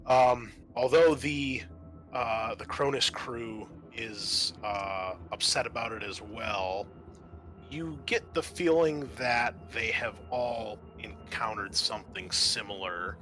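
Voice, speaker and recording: A man hosting as game master, narrating a tabletop roleplaying game in a halting, conversational way. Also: medium pitch, fairly bright tone, soft background music.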